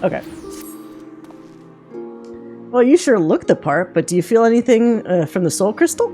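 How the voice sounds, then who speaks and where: A woman's voice: a little high, sing-song, normal speed, mellow. A woman voicing a folksy, confident hunter mentor from a fantasy game, with plain rustic phrasing, over music. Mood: encouraging. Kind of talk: reading game dialogue in character.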